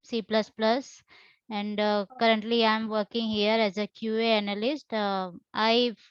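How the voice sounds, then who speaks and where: A woman from India introducing herself over a video call, talking in a halting, hesitant way with many pauses. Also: fairly high pitch, level tone, clear, resonant tone, no room sound, highs cut off. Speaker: course participant. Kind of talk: self-introduction.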